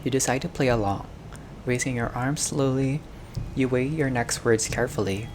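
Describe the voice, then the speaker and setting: A man's voice: mid-range voice, moderate pace, a little hiss or hum. A man doing a game playthrough, reading narration aloud and dropping in casual reactions. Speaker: gamer playing a visual novel.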